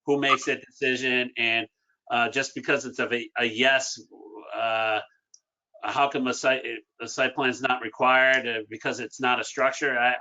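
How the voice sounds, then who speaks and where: A man on a town planning board raising a concern, halting but emphatic. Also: medium pitch, pushed and bright. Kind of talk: meeting discussion. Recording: dull lo-fi local TV audio.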